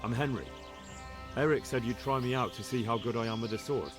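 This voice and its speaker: An actor playing an earnest, dutiful young scout working undercover in a video game drama. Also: male voice, medium pitch, fairly quick, over music, close to an everyday voice. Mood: guarded, polite.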